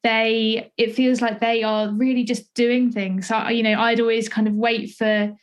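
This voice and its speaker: A woman's voice: a little high, flat delivery, unhurried. A woman in her twenties with a southern English accent, chatting casually as an interviewer, feeling her way through her sentences. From Essex, England. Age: 20s. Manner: hesitant, searching phrasing with many hedges. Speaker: podcast interviewer and playwright.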